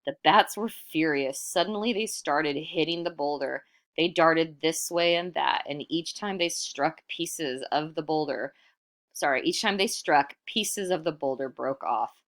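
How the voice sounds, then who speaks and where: A woman reading a storybook aloud in expressive narration, animated and putting questions to the listener. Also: low-pitched, measured pace. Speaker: storybook reader.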